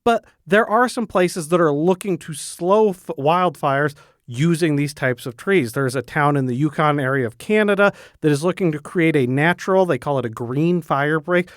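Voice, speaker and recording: Male radio reporter, fairly high pitch, conversational explainer, dry close recording. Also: normal speed, dry recording. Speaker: news reporter.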